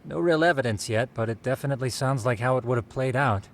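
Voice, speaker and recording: A man's voice: medium pitch, fast talker, close to an everyday voice. Guy playing a polite know-it-all investigator, formal, explains stuff, light noise behind. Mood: explaining. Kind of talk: video game voice acting.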